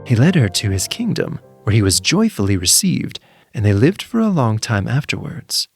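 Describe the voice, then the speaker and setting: Animated, husky male fairytale narrator. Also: on the low side, moderate pace. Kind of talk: scripted fairytale storytelling narration. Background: soft background music.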